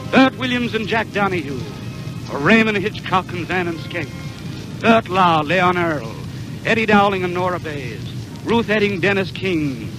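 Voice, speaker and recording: A man's voice: high voice, poor audio. A man announcing a 1930s radio show in a theatrical, declamatory showman style, on an old broadcast recording.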